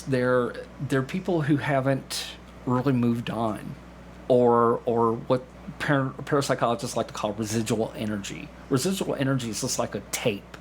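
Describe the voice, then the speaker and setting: A man speaking as a podcast guest, explaining things casually in a halting way with repeated words and false starts. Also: medium pitch. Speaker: podcast guest, community theatre actor. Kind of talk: casual conversational explanation with anecdotes. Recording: clear background noise with room ambience.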